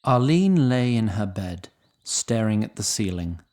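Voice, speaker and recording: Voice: medium pitch, big pitch swings, a little slow, a little mellow. A performer serving as the composed third-person narrator of a scripted fantasy audio drama.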